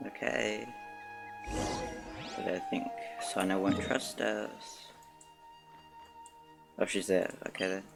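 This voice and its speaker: Low, creaky male game streamer. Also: steady tone, takes it slowly, pauses often. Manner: muttered, laconic reactions. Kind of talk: casual playthrough commentary. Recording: game music behind.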